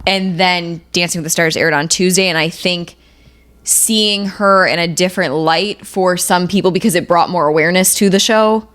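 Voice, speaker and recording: A woman's voice: fairly low pitch, fairly even intonation, moderate pace, a bit bright. A woman co-hosting a podcast in casual, chatty, informal banter. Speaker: podcast co-host.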